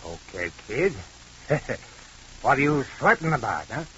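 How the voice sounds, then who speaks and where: A man playing a sly old mechanic who builds bombs, husky and taunting in slangy radio-drama style. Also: mid-range voice, sing-song, a little slow, bright, forceful tone, slight background noise. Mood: sly, needling. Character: old mechanic bomb maker, sly and needling. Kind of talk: 1940s radio acting. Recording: dull 1940s radio recording.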